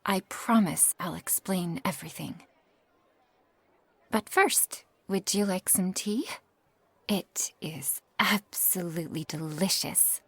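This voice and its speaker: Woman playing a slow-talking, flustered young witch. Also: lowish voice, no echo, heavily acted. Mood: earnest, chatty. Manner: halting, breathy asides, nervous little laughs and sighs. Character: flustered, earnest young witch tarot reader. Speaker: ASMR roleplay performer. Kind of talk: ASMR roleplay acting, addressed to the listener.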